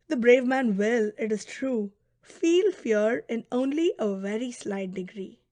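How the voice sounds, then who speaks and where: Female narrator for philosophical audiobook; fairly high voice, deliberate scripted reading, dry booth-like sound. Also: darkish tone, no room sound.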